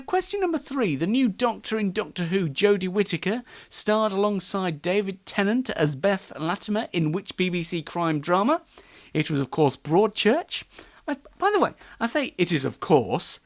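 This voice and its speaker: A man's voice: high-pitched, no room sound. A man hosting a casual online radio-style show in a rambling, self-deprecating way. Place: home studio and downstairs rooms. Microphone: switches between wireless and studio microphones. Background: background music in places.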